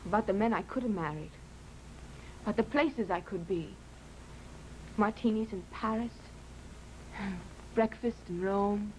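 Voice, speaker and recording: A woman's voice: mid-range voice, measured pace, pauses often, darkish tone, close to an everyday voice. A woman playing a weary, bitter, discontented wife in an old-time radio drama, with a theatrical, accusing delivery. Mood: bitter, longing. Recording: noisy vintage radio recording.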